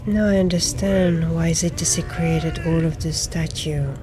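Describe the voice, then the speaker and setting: A woman gamer giving in-character roleplay commentary on a video game, musing in a deliberate way. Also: deep voice, fairly even intonation, background noise.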